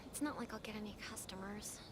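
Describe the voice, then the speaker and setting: A woman's voice: medium pitch, fast, heavy background noise, close to an everyday voice. A woman voicing a discouraged shopkeeper in a video game, muttering with resignation in the distance. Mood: resigned. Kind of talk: video game background character line. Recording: distant in-game chatter under loud music.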